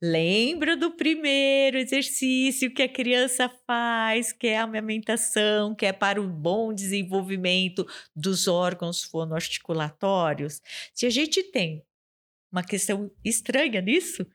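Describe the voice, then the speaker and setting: A woman's voice: medium pitch, unhurried, a bit bright. A woman with a Brazilian Portuguese accent, a speech therapist guest on a podcast, explaining things patiently and repeating key terms. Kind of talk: podcast interview answers.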